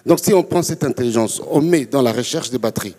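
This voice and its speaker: A man's voice: medium pitch, expressive, brisk, dark tone, slightly rough. A man from DR Congo speaking as an entrepreneur on a conference panel, making an impassioned case. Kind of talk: impassioned panel remarks in a public forum. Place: large conference hall. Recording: reverberant conference hall.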